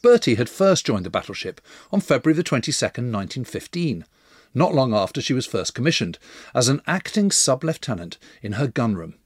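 A man's voice: medium pitch, sing-song, fairly quick, slightly rough, dry recording, studio quality. A man narrating a scripted history documentary as its storyteller.

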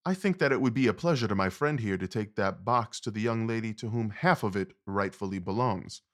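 An actor playing a confident, commanding gentleman detective, wry and precise, in an audio drama. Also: on the low side, a little fast, natural voice. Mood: commanding, brisk.

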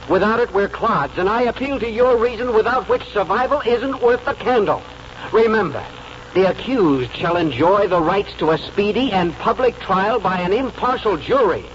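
An actor playing an idealistic small-town American lawyer, earnest and oratorical, in 1940s radio drama style. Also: male voice, high-pitched, fairly bright tone, a little hiss or hum. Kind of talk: 1940s radio acting and first-person narration. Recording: muffled old radio broadcast, music behind.

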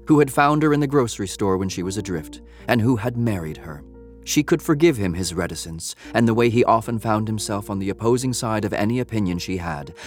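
Give me a man narrating a literary audio drama. His pitch sits a little low and his delivery is measured, like careful storytelling, over faint music.

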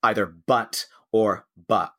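A man's voice: on the low side, takes it slowly, a bit bright. An American man co-hosting a podcast, chatting casually and laughing often as he talks. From United States. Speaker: podcast co-host. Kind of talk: casual chat.

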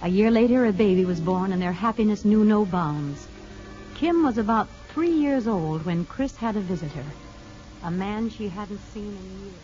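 A kindly, reassuring parole officer from an old radio play, acted by a woman. Her dark, lowish voice is calm and measured. The 1950s recording is dull, with faint music. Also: close to an everyday voice. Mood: measured storytelling. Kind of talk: 1950s radio drama acting and narration.

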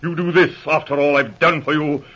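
An actor playing a blustering, pompous, indignant army colonel in a 1940s radio drama. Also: male voice, on the high side, steady tone, moderate pace, a bit bright, slightly rough. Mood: shocked, outraged. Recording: muffled old radio recording.

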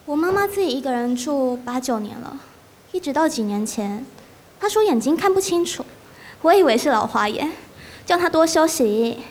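A woman's voice: high-pitched, animated, moderate pace. A woman playing a grown son who gives an earnest monologue about his mother.

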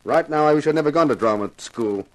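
A man's voice: fairly high pitch, lively intonation, quick pace, lightly acted. A man acting as a wry, hard-boiled TV actor turned reluctant sleuth in a 1950s radio detective drama. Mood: exasperated. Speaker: lead actor and narrator.

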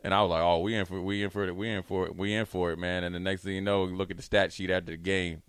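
A man telling casual sports stories as a radio co-host, animated and acting out what people said. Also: sits low, fast, smooth, dry recording. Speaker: radio show co-host, former pro football player.

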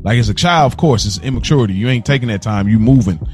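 A man speaks as a podcast co-host in a casual, conversational style. He explains himself emphatically, repeating phrases to drive points home, in a mid-pitched voice with music softly behind him. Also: lively intonation, quick pace. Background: background music.

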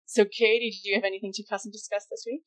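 A woman's voice: medium pitch, flat delivery, very fast, poor audio. A woman co-hosting a podcast, chatting in an easygoing way, laughing often and restarting her sentences as she thinks aloud. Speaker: podcast co-host. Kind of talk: casual podcast chat.

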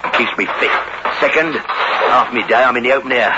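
An actor playing a hardened, menacing career criminal in a 1960s radio suspense drama, talking in rough underworld slang, bullying and cajoling. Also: male voice, mid-range voice, fairly quick, close to an everyday voice. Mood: tough, boastful. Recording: roomy, muffled, noisy old radio recording.

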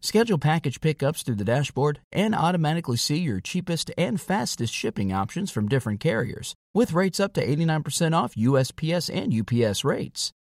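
A man's voice: a little high, sing-song, a little fast, hardly any pauses, no echo, studio quality. A man reading a scripted podcast advertisement as an announcer.

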